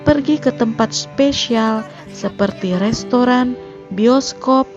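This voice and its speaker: A woman's voice: fairly high pitch, lo-fi sound. A woman reading a scripted narration that recaps a film's story. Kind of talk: scripted narration of a film plot. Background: background music.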